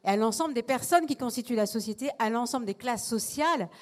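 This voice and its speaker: A woman's voice: on the high side, lively intonation, fast. Earnest woman theatre director on a panel. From not from Marseille, lives there. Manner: earnest, restarts phrases often. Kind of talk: panel discussion.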